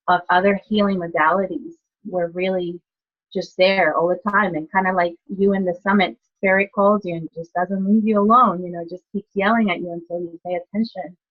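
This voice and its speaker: Woman from ecuador on a muffled video call, lowish voice, chatty, lots of "you know". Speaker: guest, nurse and healer. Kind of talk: conversational storytelling.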